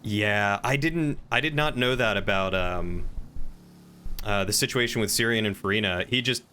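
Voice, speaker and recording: A man's voice: fairly low pitch, normal speed, bright, forceful tone, a little hiss or hum. A man streaming a game and talking casually with his fellow players. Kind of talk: casual gaming stream chat.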